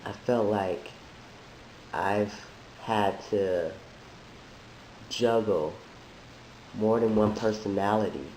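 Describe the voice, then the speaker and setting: A man who is sick, talking in a halting, thoughtful way with many restarts, in a reflective personal monologue. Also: lowish voice, darkish tone. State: sick, voice deeper than usual. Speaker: vlogger sharing a transition update. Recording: echoey room with faint hiss.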